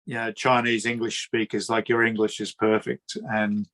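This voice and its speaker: A man with a drawling Queensland Australian accent, hosting a relaxed interview in a friendly, chatty way and often repeating words. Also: on the low side, moderate pace. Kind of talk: casual conversational interview.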